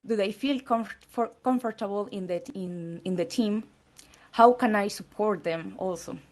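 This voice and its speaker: A woman's voice: medium pitch, moderate pace, a little mellow. A woman from Peru, a native Spanish speaker with a Latin American Spanish accent, giving an earnest conference presentation in English. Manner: earnest, with slight non-native phrasing. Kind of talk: presentation talk.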